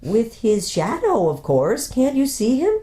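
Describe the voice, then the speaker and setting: A woman's voice: on the high side, sing-song, unhurried, dark tone, pitched above the performer's own voice. Woman voicing an earnest young girl. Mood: quiet certainty.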